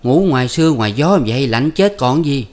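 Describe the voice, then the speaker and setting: A man voicing a sly, foul-mouthed peasant wife who scolds and curses in a high, loud voice. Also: animated, brisk, close to an everyday voice. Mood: coaxing. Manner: shrill scolding and cursing. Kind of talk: storybook reading.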